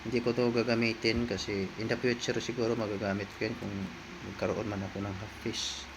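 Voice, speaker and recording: Filipino man, vlogger, flat intonation, casual unboxing style, repeats himself, clearly noisy recording. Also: on the low side, a little fast. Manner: informal, repetitive, talking to viewers as he handles items. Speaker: vlogger, product reviewer. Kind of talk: casual unboxing and hands-on review.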